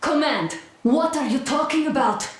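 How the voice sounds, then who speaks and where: A woman's voice: high-pitched, moderate pace, very echoey, lightly acted. A woman acting a defiant, idealistic young soldier in a video game, direct and challenging. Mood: skeptical.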